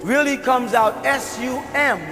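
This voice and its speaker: A man giving a spiritual lecture and answering a question, explaining things slowly and deliberately. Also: very high-pitched, big-room sound, over music.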